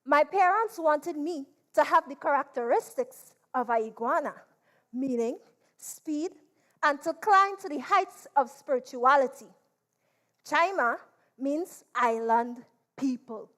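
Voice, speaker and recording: A woman's voice: high voice, expressive, a bit bright. A woman with a Trinidadian accent performing a folk tale as a dramatic storyteller, drawing words out theatrically and repeating them. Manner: theatrical, drawn-out delivery with repetition. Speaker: storyteller performing at a literature competition.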